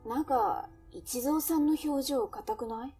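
Woman acting high, mellow, curious cafe regular. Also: measured pace, distant mic, faint music behind. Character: inquisitive, sympathetic female cafe regular and confidante. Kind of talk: Japanese audio drama acting.

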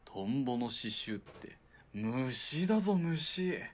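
A man acting in a radio drama as a wry, rough-spoken outlaw who works as a sniper's spotter. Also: mid-range voice, expressive, slow pace. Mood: casual, grumbling. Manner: gruff casual speech, dry retorts.

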